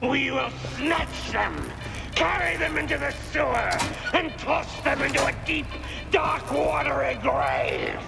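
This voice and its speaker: An actor playing a menacing, grotesque film supervillain with slow, deliberate, theatrical delivery. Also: male voice, very high-pitched, very animated, creaky, music in the background, heavily acted. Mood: gloating menace. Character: menacing grotesque male supervillain plotting to drown children.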